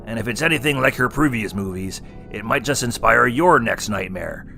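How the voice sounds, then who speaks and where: A man's voice: mid-range voice, lively intonation, moderate pace, vocal fry, sharp tone, soft background music. An actor playing a wisecracking male clown who co-hosts a scripted horror review. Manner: punny, teasing. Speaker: co-host. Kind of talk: scripted comedic review banter.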